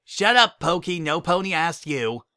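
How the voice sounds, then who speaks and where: A man's voice: a little high, animated, measured pace, bright, forceful tone, smooth, no room sound, clean studio sound, voice pushed up. A man voicing a blunt, exasperated young unicorn mare in a pony story, grumbling as she talks.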